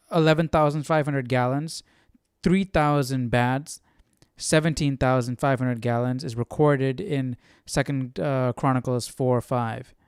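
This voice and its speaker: A young man talks casually as a livestream host. His voice is smooth and clean in a dry, close recording. He thinks aloud, often doubling back on his words. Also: medium pitch, dry recording. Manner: hesitant, repeats words while working things out. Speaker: Bible study livestream host.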